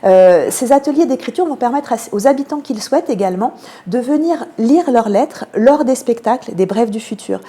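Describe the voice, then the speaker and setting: A woman with a French accent from France giving measured, explanatory answers as a radio interview guest, pausing often to find her words. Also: fairly high pitch, expressive, dark tone. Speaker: theatre programme manager, interview guest.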